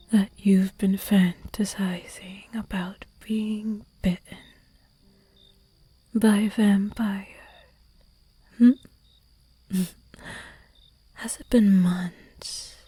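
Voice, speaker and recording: A flirty, predatory vampire, played by a woman whispers close in a breathy, dark hush. Each word comes out unhurried and teasing, with faint music underneath. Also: mid-range voice, no echo, full character voice. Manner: slow, teasing, hushed and intimate. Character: seductive predatory vampire, flirty noble demon. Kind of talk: whispered ASMR roleplay audio.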